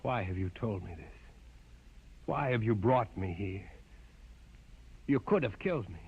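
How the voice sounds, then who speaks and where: An actor in a vintage radio drama playing an earnest, questioning captive with a halting, intense delivery. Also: male voice, lowish voice, animated, slightly rough, lo-fi sound, heavily acted. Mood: searching. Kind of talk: 1950s radio drama acting. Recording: noisy vintage radio recording.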